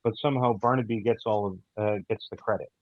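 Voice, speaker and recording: A man giving casual interview answers as a guest writer, in a halting way full of ums and pauses. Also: lowish voice, fairly even intonation, dull audio. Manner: halting, filler-heavy delivery. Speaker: guest, audio theater writer.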